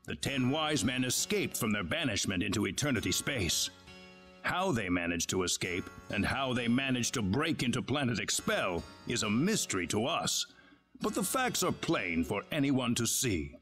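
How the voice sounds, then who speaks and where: A grave male leader from a fantasy video game, formal and courteous. His mid-pitched voice moves expressively as he explains things in measured phrases. Music plays behind him. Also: very animated, fairly bright tone. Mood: solemn, urgent. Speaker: voice actor in a fantasy role-playing game. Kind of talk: dramatic video game dialogue.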